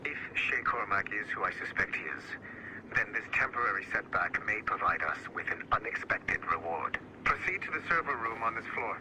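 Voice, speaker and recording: An actor playing a secretive, authoritative corporate boss, speaking formally and cryptically in video game dialogue. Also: male voice, fairly low pitch, lively intonation, moderate pace, background noise, lightly acted. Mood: commanding.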